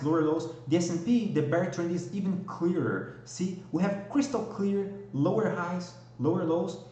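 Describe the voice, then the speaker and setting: Brazilian-accented male market analyst, a bit high-voiced, talks through charts with lots of "okay?" check-ins, recorded in a very echoey room. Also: darkish tone. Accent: Brazilian Portuguese. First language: Portuguese. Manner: explanatory, frequent "okay?" and "all right?" checks. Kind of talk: technical analysis walkthrough.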